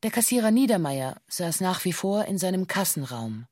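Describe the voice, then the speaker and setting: A woman's voice: fairly low pitch, very animated, moderate pace, over loud music. An actress playing a female bank employee who gives a formal, precise witness statement in a matter-of-fact way for a radio play. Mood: sober, factual. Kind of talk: scripted radio play monologue in stiff police-statement language.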